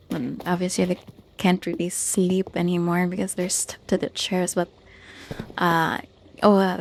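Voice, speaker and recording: Woman casually showing off her game build, real slow n hesitant, low even voice. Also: slight background noise. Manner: hesitant, searching for words. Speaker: gamer showing off own Minecraft village build. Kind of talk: casual, unscripted video game tour commentary.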